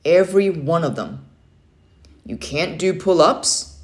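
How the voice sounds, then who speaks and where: Woman reading as firm, motivating military uncle, slow. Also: fairly low pitch, animated, darkish tone. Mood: rousing, emphatic. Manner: firm, emphatic, challenging. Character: stern, motivating young adult uncle, Navy man. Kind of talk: children's audiobook read-aloud.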